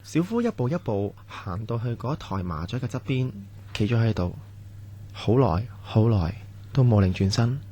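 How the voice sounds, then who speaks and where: A man's voice: medium pitch, sing-song, moderate pace, warm tone. A man with a Hong Kong Cantonese accent giving scripted narration for a radio horror drama.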